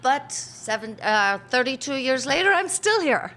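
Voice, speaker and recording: Irish woman, 50s, bright chatty storyteller, interview guest. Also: high-pitched, sing-song, unhurried. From Ireland. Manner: chatty storyteller, laughs easily, acts out quotes. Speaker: pub owner, interviewee. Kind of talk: casual interview chat.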